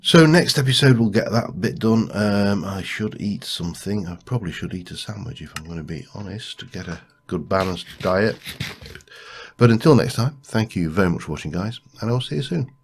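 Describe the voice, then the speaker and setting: Deep-voiced man with a stuffy cold. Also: expressive, normal speed, creaky, slightly rough. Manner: thinks aloud, muttering to himself. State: congested from a cold. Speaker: let's play host. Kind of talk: casual gameplay commentary.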